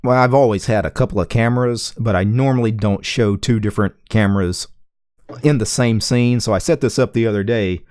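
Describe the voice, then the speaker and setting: Low-voiced Southern man, laid-back. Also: darkish tone, no room sound, studio quality. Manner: laid-back, drawn-out, frequent "yeah"s. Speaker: livestream host. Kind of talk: casual chat with a caller. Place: small home room full of computer gear.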